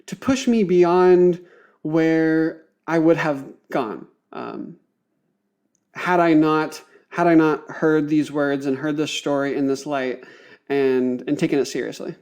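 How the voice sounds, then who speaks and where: A man with a slightly high voice talks as a guest on a podcast. He explains things thoughtfully, pausing to find his words and leaning on academic vocabulary. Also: darkish tone, smooth.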